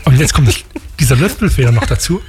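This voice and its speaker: A man's voice: mid-range voice, animated, fast talker, darkish tone, a little hiss or hum. A man from Leipzig with a Saxon German accent and a slight lisp, rambling and joking about himself as a radio host in casual chat. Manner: chatty, rambling, self-mocking, with many fillers and restarts.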